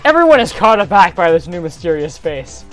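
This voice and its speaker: Theatrical story narrator, very animated pitch, comedic dramatic reading, faint music behind. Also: brisk.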